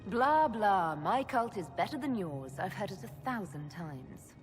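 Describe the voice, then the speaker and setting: A woman's voice: medium pitch, very animated, unhurried. An actress playing a bold, dry-witted female elven envoy in a game cutscene, composed and sardonic. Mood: mocking. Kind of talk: dramatic game cutscene acting. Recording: long hall reverb, music underneath.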